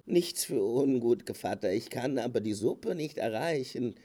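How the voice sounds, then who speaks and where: A man's voice: mid-range voice, expressive, moderate pace, mellow, close to an everyday voice. A man voicing a sly fox in a fable, coaxing and mock-polite, playing the falsely generous host. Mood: eager, polite. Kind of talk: acted fable storytelling.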